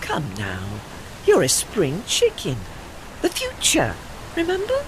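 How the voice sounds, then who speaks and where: A woman acts a grand old female warship in a radio play. She is gentle and wistful, choosing her words with formal care, and her pitch moves expressively over a clearly noisy backdrop. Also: wildly swooping pitch, heavily acted. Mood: gently encouraging. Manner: measured, articulate, formal. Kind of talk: audio drama acting.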